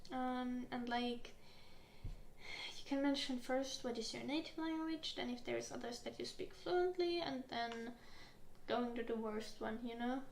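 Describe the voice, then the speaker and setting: Soft-spoken high-voiced Finnish woman, slow. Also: gravelly, reverberant, slight background noise. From Finland. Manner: gentle, unhurried, with soft giggles and filler words. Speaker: ASMR roleplay interviewer. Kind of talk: soft-spoken ASMR roleplay.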